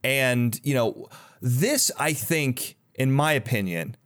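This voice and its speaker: A man's voice: mid-range voice, lively intonation, slow, fairly bright tone. A man hosting a podcast, talking casually and with enthusiasm in an interview conversation. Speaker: podcast host.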